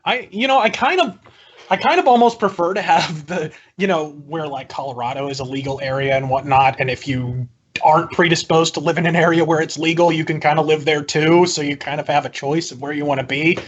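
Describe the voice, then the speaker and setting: A man's voice: a little high, a little fast, lo-fi sound, a little hiss or hum. A man in a political debate, arguing his case in wordy, articulate sentences. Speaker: debate guest. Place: indoor room, video call.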